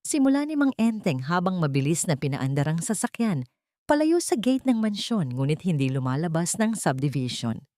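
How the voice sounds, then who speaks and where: Low-voiced Filipino woman narrating drama. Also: big pitch swings, normal speed, warm tone, no echo, clean studio sound. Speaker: audiobook narrator. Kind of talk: dramatic scripted story narration.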